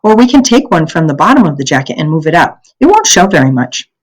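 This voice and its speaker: A woman's voice: sits low, sing-song, fast, rough recording. A woman reading the lines of a thoughtful, sensible young girl, an older sister in a storybook, in an earnest manner.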